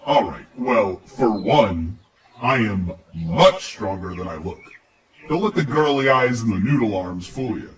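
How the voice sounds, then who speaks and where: A man's voice: lowish voice, animated, unhurried, distant mic, poor audio. A man voicing a cocky, teasing androgynous dream spirit guide with a sardonic, playful, theatrical manner. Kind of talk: character voice acting.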